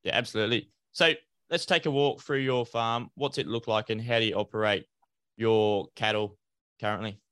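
A fairly low-voiced man hosting a podcast, steering the chat with easy, conversational questions. His voice is smooth and clear, recorded dry and close with no room sound. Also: fairly even intonation, moderate pace, fairly bright tone, no echo. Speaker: podcast host and farmer. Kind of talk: casual interview hosting.

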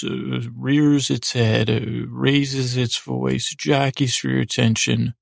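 A man with a heavily creaky, gravelly voice hosts a bedtime podcast in a lulling ramble. His delivery stays flat and even, wandering off into digressions and halting restarts. The sound is close and dry, with faint music behind him. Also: medium pitch, no echo. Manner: soothing, lulling. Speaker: host of a bedtime story sleep podcast. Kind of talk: rambling, meandering bedtime monologue.

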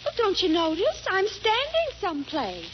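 A woman's voice: extremely high pitch, huge pitch swings, moderate pace, exaggerated voice. An actress playing a coy, lovestruck young girlfriend, coaxing and sweetly exasperated, in a 1940s radio comedy. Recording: muffled, faintly noisy 1940s radio recording.

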